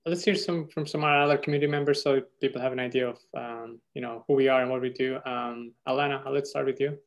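A man's voice: medium pitch, steady tone, quick pace. A man hosting an online meetup, briefly introducing each member over a video call. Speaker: meetup host.

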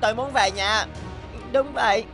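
An excitable young schoolboy from a cartoon, quick to argue. The voice is childlike and pitched a little high even for a woman. Lines come out emphatic and dramatic over clear background music. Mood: distressed. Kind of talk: animated cartoon dubbing.

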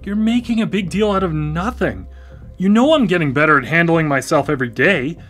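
A defensive, dependent brother in a radio play, his voice high for a man and very animated in pitch. He starts haltingly and stammers, eager to stand on his own, with light music in the recording. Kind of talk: naturalistic live radio drama acting.

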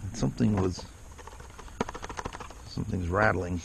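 Man, casual self-talk review outdoors; rambling, action-cam audio with steady noise. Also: deep voice, a little slow, gravelly. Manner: rambling, self-deprecating, thinks aloud. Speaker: vlogger reviewing gear. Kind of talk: casual unboxing and ride vlog. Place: outdoors on a rural property. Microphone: action camera held in hand.

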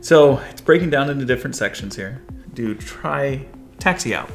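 An American man hosts an instructional video in a casual, coaching way. His pitch moves around in a lively manner at a middle register, and faint music can be heard. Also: normal speed. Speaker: video host, flying instructor. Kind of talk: presenter explaining to camera, casual coaching. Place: furnished living room, indoors.

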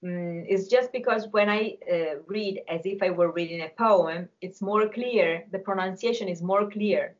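A woman's voice: mid-range voice, unhurried, hardly any pauses. A woman in her forties from Italy, speaking English with an Italian accent on a video call and explaining carefully as a singing teacher. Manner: thoughtful, explains carefully with some hesitation. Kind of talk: casual video call discussion. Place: home music room with drums. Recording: dull lo-fi call audio.

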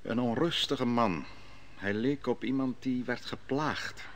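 An actor playing the prophet Daniel in an old radio drama, telling his story in a measured, solemn way. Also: mid-range voice, slight background noise, lightly acted. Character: prophet Daniel, a calm, grave advisor. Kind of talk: 1950s-60s radio drama acting.

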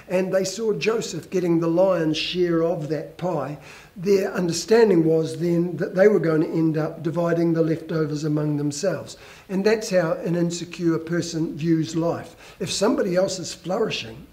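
An older man, a pastor, preaching a measured, earnest sermon on a church stage through a headset mic. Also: fairly high pitch, darkish tone. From New Zealand.

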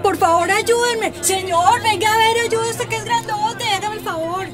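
A woman's voice: extremely high pitch, normal speed. An actress playing a frantic, panicked woman with a Colombian accent calling for help in a radio drama. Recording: music and crowd commotion behind.

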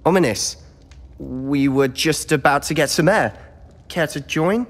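A man's voice: fairly high pitch, measured pace. A young man with a British accent playing a defiant, secretive teenage wizard in a video game, earnest and insistent. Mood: defensive. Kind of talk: video game cutscene acting.

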